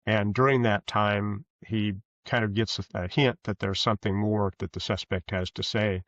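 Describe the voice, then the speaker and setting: A man answering interview questions as a guest author, conversational, with rambling, halting explanations. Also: lowish voice, fairly even intonation, fairly bright tone, dry recording. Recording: dull, lo-fi sound.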